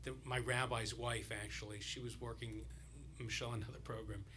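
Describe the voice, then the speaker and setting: A man speaking as a rabbi, telling a loose, rambling story during a service with many pauses and restarts. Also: medium pitch, little pitch movement, noisy background.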